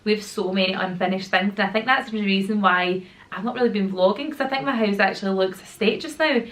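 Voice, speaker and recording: A woman in her thirties with a Glaswegian Scottish accent talks through a casual question-and-answer chat at home. Her voice sits at an ordinary middle pitch, a little rough from a sore throat. She rambles happily and slips into slang. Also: fast, a bit bright. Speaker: YouTuber and mum. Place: at home with children nearby.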